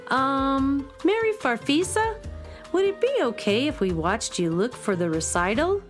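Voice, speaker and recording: An actress playing an eager young music student and knowing fan, explaining emphatically in a children's radio drama. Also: female voice, sits high, expressive, measured pace.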